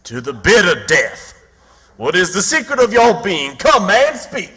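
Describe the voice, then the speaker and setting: A man's voice: high voice, huge pitch swings, measured pace, pushed and bright, breathy edge, in a large hall, full character voice. A man acting in period radio theatre as a self-pitying con man posing as a wronged duke, melodramatic and theatrically mournful. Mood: suspicious.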